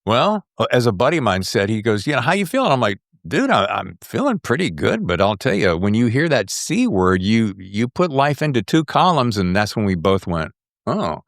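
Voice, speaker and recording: A middle-aged man hosts a casual, chatty show with geeky excitement. His voice sits fairly low but swings widely in pitch, and he tosses in slangy exclamations. The sound is dry, with little room. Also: moderate pace, no echo. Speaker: podcast host.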